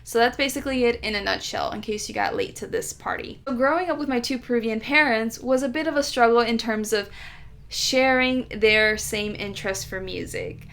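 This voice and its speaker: A woman's voice: on the high side, moderate pace, a bit bright. A woman with an American accent who grew up in the United States, telling stories in a chatty, playful vlog. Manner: chatty, playful, exaggerated complaints. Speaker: travel vlogger. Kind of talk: casual storytelling vlog.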